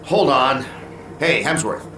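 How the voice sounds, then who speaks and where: A man's voice: medium pitch, animated, slow pace, echoey room, far from the mic, background noise. A man playing an exasperated, bossy engineering chief in an audio drama, barking brusque, folksy orders.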